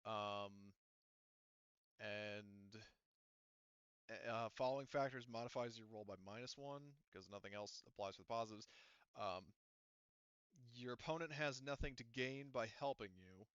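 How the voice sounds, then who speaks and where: Bright, clear-voiced man running a game. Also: on the low side, unhurried, long pauses, dry recording, lo-fi sound. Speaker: game master. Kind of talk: running a tabletop roleplaying game.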